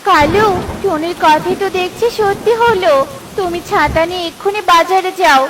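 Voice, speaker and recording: A woman voicing a haughty, very high-pitched cartoon crow wife. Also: moderate pace, background noise. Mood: bossy. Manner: scornful, bossy. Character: haughty, lazy, boastful female crow wife. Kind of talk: cartoon voice acting.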